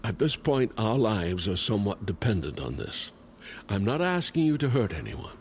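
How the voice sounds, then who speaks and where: A man's voice: on the low side, big pitch swings, normal speed, background noise. A man playing a determined, persuasive fugitive investigator in an audio drama. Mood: insistent. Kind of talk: audio fiction acting. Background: music.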